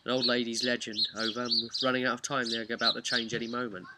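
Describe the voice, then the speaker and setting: A man casually retelling a tabletop role-play story in a halting, chatty way with wry asides. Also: medium pitch, flat delivery. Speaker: host of a tabletop role-play campaign recap. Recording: clear background noise with birdsong.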